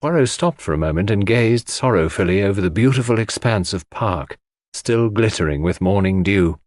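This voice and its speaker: A man's voice: on the low side, animated, moderate pace, darkish tone, no room sound, studio quality. A man narrating a story in the first person as a scripted audiobook.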